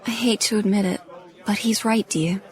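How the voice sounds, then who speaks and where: An actress playing the anxious, doting wife of a crime boss in an audio drama, pleading and emotional. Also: female voice, medium pitch, steady tone, moderate pace, some chatter behind, close to an everyday voice. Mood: reluctant. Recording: dramatic music behind.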